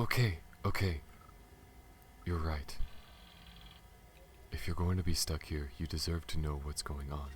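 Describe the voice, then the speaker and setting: Man playing a very deep-voiced alien smuggler. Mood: flustered, defensive, then confessional, grave. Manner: halting, stammers when flustered, earnest. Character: wanted alien smuggler pilot, male, protective and guarded. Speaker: voice actor. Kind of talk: ASMR audio roleplay spoken to the listener. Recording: audible background noise.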